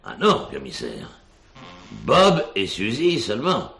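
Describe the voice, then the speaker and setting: A man's voice: on the low side, huge pitch swings, slow pace, gravelly, fairly bright tone, heavily acted. A man acting a cornered suspect in a French police drama, hesitant, evasive and self-justifying. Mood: confessing, pleading.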